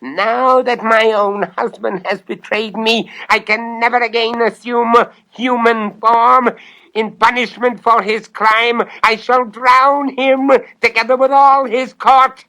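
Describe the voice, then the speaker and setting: A hideous old witch, acted out by a man, shrieking high and loud with mocking menace. The voice is shrill and piercing, full of vengeful scorn, as in a theatrical horror storybook. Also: steady tone, slow, heavily acted, rough recording. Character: hideous toothless hag witch, vengeful, mocking fairy queen.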